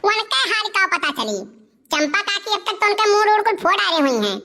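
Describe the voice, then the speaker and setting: A woman's voice: very high voice, sing-song, normal speed, bright, forceful tone, exaggerated voice. A woman voicing a cheeky, scheming village boy with an Awadhi accent in a cartoon comedy, wheedling and arguing. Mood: defensive. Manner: wheedling, argumentative.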